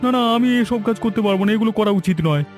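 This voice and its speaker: A man's voice: high-pitched, rapid-fire, faint music behind, natural voice. A man voicing a young village helper in a cartoon, deferential and wheedling, a hesitant and deceitful character. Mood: reluctant. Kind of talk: cartoon story dialogue.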